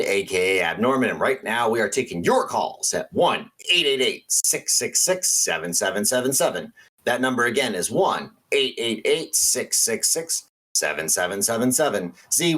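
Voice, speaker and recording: A man's voice: medium pitch, very animated, normal speed, fairly bright tone. A man playing a matter-of-fact host of a paranormal call-in radio show. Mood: genial, assured. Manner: matter-of-fact about bizarre things. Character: folksy conspiracy radio host of weird small-town stories.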